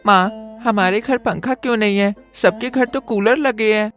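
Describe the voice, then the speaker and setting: A woman's voice: mid-range voice, normal speed, a bit bright, faint music behind, close to an everyday voice. A woman voicing a poor young boy who pleads and complains in a cartoon story. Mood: pleading.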